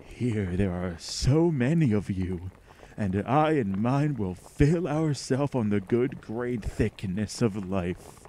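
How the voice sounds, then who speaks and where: A slow, menacing alien monster in an old horror radio play with a hissy recording. The creature speaks low, cold and commanding, like a hungry keeper looking down on cattle. The delivery is hypnotic and full of contempt. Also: male voice, animated. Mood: contemptuous, gloating. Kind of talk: old-time horror radio drama acting.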